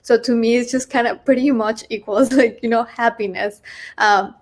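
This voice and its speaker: Woman from Mexico, panelist, chatty, fairly high voice. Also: fairly even intonation, moderate pace. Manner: chatty, many fillers like "you know". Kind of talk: casual panel talk.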